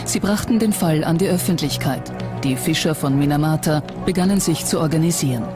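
A documentary narrator reading a script in a measured, serious manner. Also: medium pitch. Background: music under the voice.

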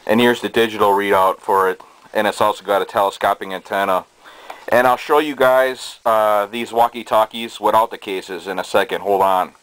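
A man with a fairly low voice talks casually, as if showing items to viewers at home. His delivery is halting and hesitant. It is an amateur indoor camera recording with noticeable background noise. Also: steady tone, a bit bright, low-quality recording. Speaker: hobbyist presenter. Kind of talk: casual show-and-tell of collected gadgets. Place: small cluttered bedroom indoors. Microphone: handheld camera's built-in microphone.

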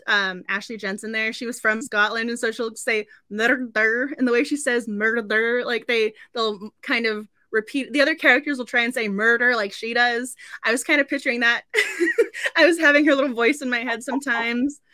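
A woman's voice: fairly high pitch, normal speed, pushed and bright, no room sound. A woman in her thirties hosting a casual book club livestream in a gushing, giggly way. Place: indoor room at home.

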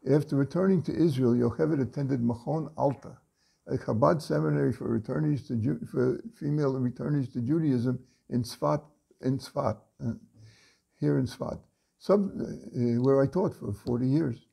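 An older man reading a story aloud from his home study into a webcam, unhurried, with frequent pauses and restarts. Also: medium pitch, gravelly, warm tone, breathy edge. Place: home study lined with bookshelves.

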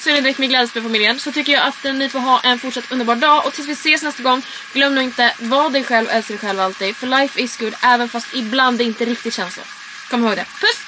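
A woman, chatting casually and informally to camera as a vlogger. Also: on the high side. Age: 20s. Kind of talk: casual chatty road trip vlog. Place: in and around a camper van and car. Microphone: handheld vlog camera. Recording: clear background noise, camera audio.

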